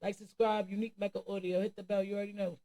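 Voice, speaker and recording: A woman's voice: medium pitch, level tone, rapid-fire, over music, low-quality recording. A woman hosting a reaction channel, talking casually and emphatically to viewers in a streetwise, conversational way. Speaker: commentary channel host.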